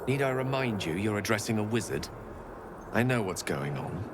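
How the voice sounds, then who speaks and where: Guy as proud wry wizard from fantasy game, low voice, articulate, clear background ambience. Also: lively intonation, moderate pace, background noise, natural voice. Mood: wry unease. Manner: wordy, droll, dignified. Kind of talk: fantasy video game acting.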